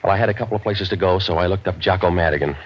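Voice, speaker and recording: An actor playing a cynical, wisecracking private detective with an American accent, in a clipped, sardonic 1940s radio drama style. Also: male voice, deep voice, rapid-fire, a little hiss or hum, lightly acted. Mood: wry narration. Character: cynical wisecracking male private detective, world-weary and tough. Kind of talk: 1940s radio detective drama acting, hard-boiled first-person narration. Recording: muffled vintage radio recording.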